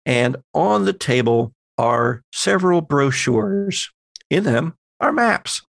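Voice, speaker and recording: Gravelly man running a tabletop game. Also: fairly high pitch, lively intonation, slow pace, no room sound, studio quality. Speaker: game master. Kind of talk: narrating and refereeing a tabletop horror roleplaying game.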